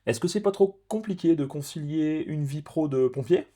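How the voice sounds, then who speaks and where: A man's voice: a little high, lively intonation, normal speed, mellow. A man interviewing a guest in a casual conversation, asking meandering questions with fillers.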